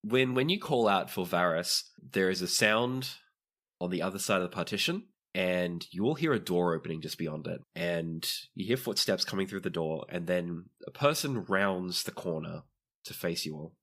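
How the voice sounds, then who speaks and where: Male game master, low-pitched, for narrating tabletop roleplaying scenes. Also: animated, normal speed, fairly bright tone.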